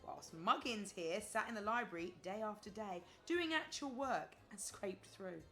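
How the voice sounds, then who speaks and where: A wry, sociable woman in an audio drama. Her voice sits at a middle pitch, chatty and sardonic, with soft music in the background. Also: lively intonation, unhurried. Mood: wry exasperation. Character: wry, sociable, exasperated adult woman friend.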